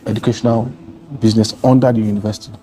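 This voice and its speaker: A man's voice: on the low side, little pitch movement, racing, mellow. A man from Nigeria telling his travel story as an interview guest, earnest and rambling with many restarts. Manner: meandering, restarts often, earnest. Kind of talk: casual interview conversation.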